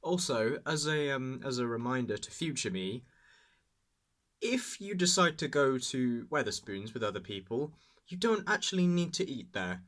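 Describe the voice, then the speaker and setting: A man's voice: medium pitch, very animated, moderate pace, fairly bright tone. Young British man, casual vlogger. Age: early 20s. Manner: self-deprecating, rambling. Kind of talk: casual personal vlog and reflective narration.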